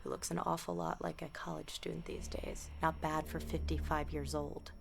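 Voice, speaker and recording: A woman's voice: sits low, level tone, moderate pace, vocal fry, slight background noise. An actress playing an anxious, blunt young American woman in a naturalistic game drama. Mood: intent, explaining. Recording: faint music behind.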